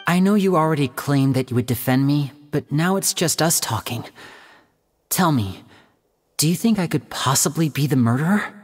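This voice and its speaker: An actor playing a polite, earnest young male stage magician in a video game, speaking in a courteous, measured and gently theatrical way. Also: fairly high pitch, expressive, slightly rough. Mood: troubled, earnest.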